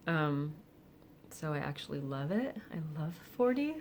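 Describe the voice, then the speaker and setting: A woman of forty speaking candidly and reflectively in a personal vlog, with soft laughs and hesitant fillers. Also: low-pitched, very animated, measured pace, slight background noise. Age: 40s. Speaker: vlogger. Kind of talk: personal confessional monologue.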